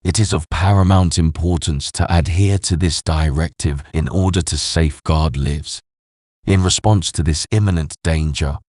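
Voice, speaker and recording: A man with an extremely deep voice delivers an official emergency announcement. He is solemn and measured, with formal scripted phrasing. The sound is dry and close. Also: a little mellow, no room sound. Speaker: news announcer reading an emergency broadcast.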